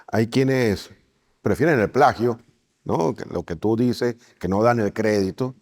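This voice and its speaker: A man's voice: medium pitch, a little slow, darkish tone. A man hosting a podcast interview in a conversational style, rephrasing as he forms his questions.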